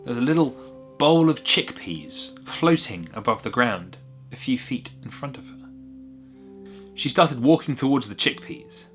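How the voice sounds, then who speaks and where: A man's voice: mid-range voice, animated, measured pace, faint music behind. A man narrating a whimsical short story in a wry, conversational way with little asides.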